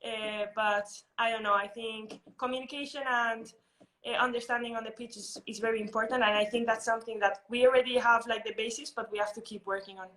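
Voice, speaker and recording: A young woman from Spain speaks in a slightly high voice. She answers interview questions in a relaxed, thoughtful way, with plenty of ums and restarts as she works out what she means. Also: a little fast, poor audio. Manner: thoughtful, many fillers and restarts. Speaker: interviewee, national team footballer.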